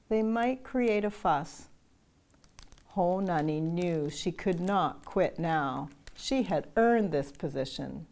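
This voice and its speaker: Slow older woman reading a picture book. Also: fairly low pitch, darkish tone. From Canada. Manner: slow, measured reading with chanted lines. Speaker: storytime reader.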